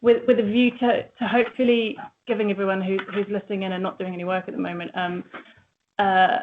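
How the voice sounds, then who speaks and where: A woman's voice: mid-range voice, little pitch movement, brisk, a little hiss or hum. A middle-aged woman from New Zealand with a New Zealand accent, giving a chatty presentation. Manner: chatty, with fillers. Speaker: agency managing director. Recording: tinny phone-line audio.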